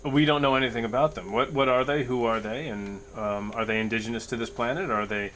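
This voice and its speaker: A man playing a courteous human starship captain in a tabletop roleplay, speaking formally in halting, wordy, diplomatic phrases. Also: mid-range voice, fairly bright tone, a little hiss or hum, lightly acted. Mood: polite, inquiring. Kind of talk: improvised tabletop roleplay acting.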